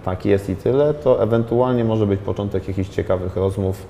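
A man in his thirties speaks in a very low, dark and mellow voice, weighing each thought with pauses, fillers and restarts. He is a film director answering questions on a studio set in a glass-walled hall. Faint background hiss sits under his lapel-mic sound. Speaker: film director, interview guest. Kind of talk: reflective interview talk.

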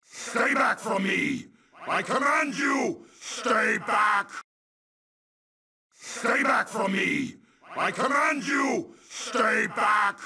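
Casting: menacing game-villain demon lord, slow and grandiose, gravelly, with sweeping pitch. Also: male voice, bright, forceful tone, heavily acted. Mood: commanding fury. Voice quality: husky, gravelly. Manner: grandiose, slow, theatrical, commanding. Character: menacing, gloating male demon lord ruling an underworld. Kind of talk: video game villain acting.

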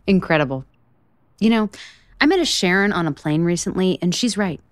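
A woman's voice: lowish voice, expressive, measured pace, no echo, clean studio sound. An actress playing a blunt, exasperated single woman in a romantic comedy audio drama, sarcastic, emphatic and profane. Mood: indignant ranting. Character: blunt, exasperated, foul-mouthed single woman, sharp-tongued cynic.

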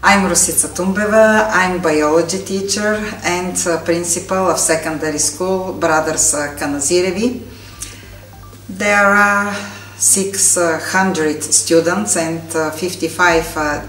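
A middle-aged woman with a Bulgarian accent, a school principal speaking slowly and hesitantly, with many fillers. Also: lowish voice, fairly bright tone. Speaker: school principal and biology teacher. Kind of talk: testimonial to camera. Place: council hall with flags, indoors. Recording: roomy hall, distant mic, faint music.

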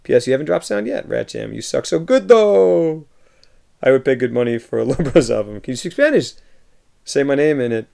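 Gravelly-voiced man chatting with viewers. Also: mid-range voice, expressive, brisk. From United States. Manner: reads viewer messages aloud and replies warmly, often laughing. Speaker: livestreamer reading chat. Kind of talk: casual chat. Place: home room with shelves of films.

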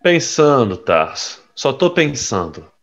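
Brash young playboy, lively pitch. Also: male voice, slow pace, muffled recording. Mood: pensive. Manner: cocky, slangy, teasing. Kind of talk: audio drama acting.

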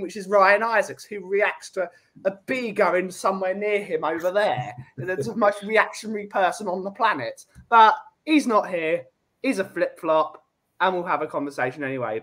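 A man's voice: high voice, a bit bright. A man, hosting a casual chat show over a video call. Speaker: host. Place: home room with brick wall.

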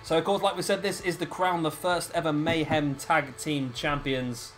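A hoarse man, hosting and casually commentating a wrestling video game. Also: fairly high pitch, fairly even intonation, moderate pace, a bit bright, soft background music. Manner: chatty, laughing, swears casually. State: hoarse, lost his voice. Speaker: host of a gaming channel.

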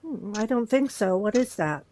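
A woman playing a patient, measured virtual therapist who prompts gently, in an audio drama. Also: mid-range voice, expressive, natural voice.

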